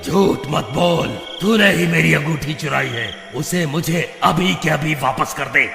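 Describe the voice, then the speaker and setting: A man voicing an angry, threatening skeleton ghost with a gravelly voice in a children's cartoon story. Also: on the high side. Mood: menacing. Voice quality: gravelly, creaky. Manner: slow, threatening, commanding. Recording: echoey reverb, music behind.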